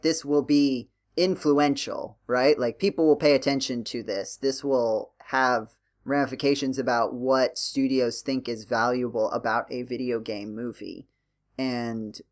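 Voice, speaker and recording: Male podcast host in casual discussion. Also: mid-range voice.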